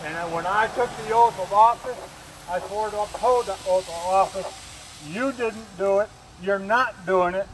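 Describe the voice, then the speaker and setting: A man giving an angry, defiant protest speech through a bullhorn, speaking outdoors. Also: high-pitched. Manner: defiant, confrontational. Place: outdoors in rain at a street protest. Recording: noisy outdoor street recording, bullhorn.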